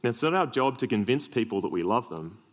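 An Australian man with a deep voice preaching a sermon, earnest with self-deprecating humorous asides. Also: sing-song, fast talker.

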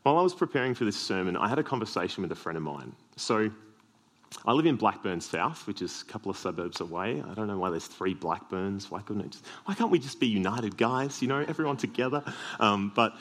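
Australian male preacher, deep low voice, earnest sermon delivery lightened by self-deprecating jokes. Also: expressive, brisk, a bit bright.

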